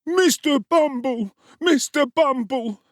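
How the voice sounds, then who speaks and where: A man's voice: slow pace, no echo. A man voicing a sly teenage workhouse boy who wails, whines and pleads. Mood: wailing. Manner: wailing, shrill and pleading. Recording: dry close studio sound.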